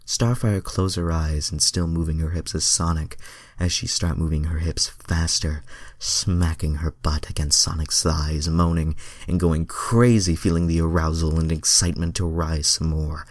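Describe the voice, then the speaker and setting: A man's voice: rumbling low, fairly even intonation, normal speed, dry recording, studio quality. A man narrating a fan-written story in an amateur, stumbling way, with casual self-deprecating asides. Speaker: reader of fan fiction.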